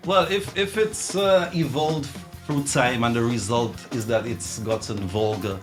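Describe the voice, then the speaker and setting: A man's voice: medium pitch, unhurried, over music. Laid-back Caribbean man chatting. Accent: Caribbean English. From Trinidad and Dominica. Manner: laughing, animated storytelling with many restarts. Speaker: music artist guest. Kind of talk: casual interview chat.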